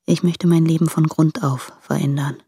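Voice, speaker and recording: An actress playing an anguished married woman in a radio play, speaking hesitantly in a hushed, breathy voice. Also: sits low, steady tone, moderate pace, no echo, full character voice. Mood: resolute but pained. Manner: hesitant, subdued. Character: anguished married woman torn between husband and lover. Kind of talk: intimate German radio play monologue. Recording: close dry studio, music behind.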